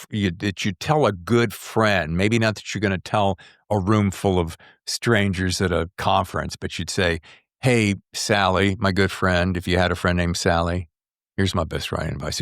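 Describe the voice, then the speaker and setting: A man's voice: deep voice, steady tone, normal speed, no room sound. Chatty, rambling man hosting a podcast, dry close sound. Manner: rambling, chatty, acts out his own thoughts. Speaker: podcast host. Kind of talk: casual podcast interview.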